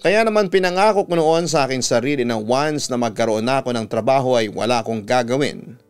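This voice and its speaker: Filipino guy radio storyteller, smooth clear voice, measured reading, music playing behind. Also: medium pitch. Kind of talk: scripted story narration. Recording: music bed under the voice.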